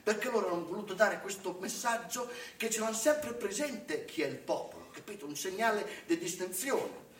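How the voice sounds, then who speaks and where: A man playing a high-pitched, rambling sardonic chef. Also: lively intonation, moderate pace, fairly bright tone. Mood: mocking, animated. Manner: colloquial, digressive, exclamatory, punctuated with rhetorical check-ins. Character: rambling, sardonic Italian restaurant chef, irreverent and boastful. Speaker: stage actor in a satirical monologue. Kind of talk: comic theatre monologue, colloquial direct address. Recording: distant roomy live recording, faint noise.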